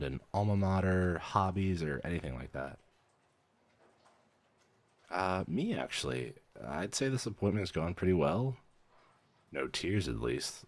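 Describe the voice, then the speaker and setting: A man playing a weary, friendly young vampire mentor in a roleplay audio, dryly professional yet casual and a little hesitant. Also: very deep voice. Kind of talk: roleplay audio, conversational acting.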